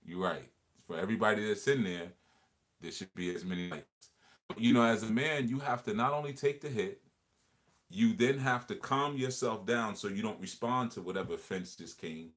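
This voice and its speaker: A man with a fairly low voice leads a relaxed livestream conversation. He talks in an earnest, reflective way, circling back over words and phrases as he works through an idea. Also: moderate pace. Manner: earnest, reflective, repeats phrases while explaining. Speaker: livestream host. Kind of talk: casual livestream discussion.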